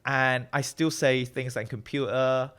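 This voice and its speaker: A man in his thirties from Malaysia, with a Malaysian-British accent that carries Midlands traces, speaking as a guest researcher in a reflective, animated way. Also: mid-range voice, a little slow, clear, resonant tone. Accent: Malaysian-British English with Midlands traces. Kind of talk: conversational interview.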